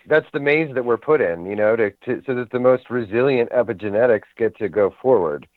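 A man's voice: mid-range voice, expressive, brisk, no echo. A man who performs ministry services, musing philosophically as a guest on a radio show. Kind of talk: casual radio talk show chat. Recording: tinny phone-like radio audio, dry.

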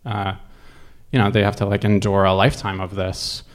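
Casual male storyteller, slightly low voice. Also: level tone. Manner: halting, reflective, conversational with frequent 'like' and swearing. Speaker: guest storyteller.

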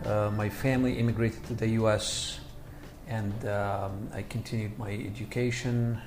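A man from Egypt, a scholar of Islam, answering interview questions with many pauses and fillers. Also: on the low side, steady tone, a little mellow, roomy sound, soft background music. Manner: halting, many fillers and restarts.

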